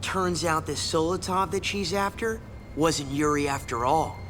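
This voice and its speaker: An actor playing an excitable, friendly young male survivor in a video game cutscene. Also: fairly high pitch, a little slow, slight background noise. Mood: earnest, explaining. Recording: music clearly under the voice.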